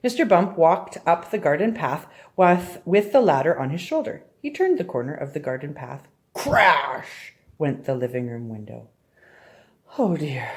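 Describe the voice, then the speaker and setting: A woman's voice: sits low, darkish tone. A woman reading a children's story aloud expressively, often turning to address young listeners directly with questions. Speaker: storybook reader.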